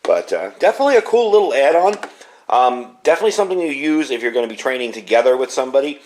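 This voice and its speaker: A man's voice: mid-range voice, big pitch swings, very fast, a little mellow. Casual man reviewing gear. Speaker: product reviewer. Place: indoors at home.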